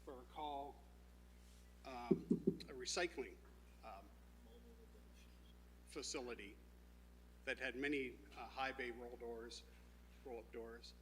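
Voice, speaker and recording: A man presenting his company's plans at a town zoning meeting, speaking informally with many fillers and restarts, and a loud voice by his own account. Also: medium pitch, slow pace, long pauses, slight background noise, low-quality recording. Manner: says he has a loud voice.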